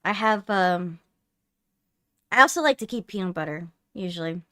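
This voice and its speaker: A woman's voice: lowish voice, lively intonation, normal speed, pushed and bright. A woman chatting casually as she hosts a livestream. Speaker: streamer hosting a live game readthrough.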